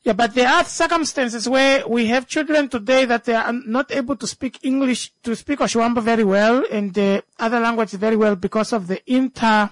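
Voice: mid-range voice, moderate pace, hardly any pauses, no room sound, rough recording. A speaker with a Namibian accent who grew up speaking a local language, talking off the cuff in an emphatic way and repeating phrases for stress. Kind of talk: unscripted discussion.

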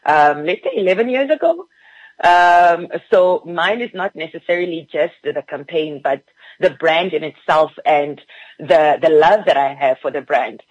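A woman's voice: deep voice, animated, unhurried, no room sound, muffled recording. A woman from Namibia, a PR guest, talking enthusiastically on a radio interview with fillers.

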